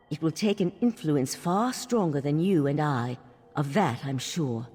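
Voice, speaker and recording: A husky female voice plays a resolute elven mentor in a fantasy game. She is formal and earnest, pressing her case persuasively. Long reverb trails the voice, as in a great hall. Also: fairly low pitch, warm tone, full character voice. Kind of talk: scripted fantasy game dialogue.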